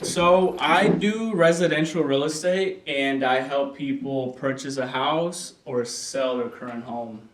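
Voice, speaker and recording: A young man from El Salvador and Honduras answers as a podcast guest, relaxed and measured, stretching out his phrases. He sits some way off the mic in a roomy space that carries an echo, and his pitch stays in a plain middle range. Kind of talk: casual interview answers. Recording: roomy sound, voice from a distance.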